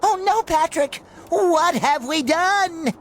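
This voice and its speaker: A man playing an excitable, naive young male sea sponge in a cartoon game, with a squeaky, nasal, gushing voice. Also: slow pace, exaggerated voice. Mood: alarmed. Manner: gushing, exuberant, childlike. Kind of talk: cartoon game cutscene acting. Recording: music under the voice.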